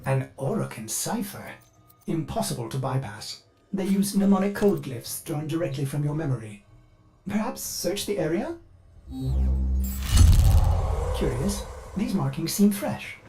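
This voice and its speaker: An actor playing a ship's artificial intelligence in a video game, polite, formal, anxious and eager to defer. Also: male voice, on the high side, very animated, moderate pace. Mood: curious. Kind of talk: video game character acting. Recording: music behind the voice.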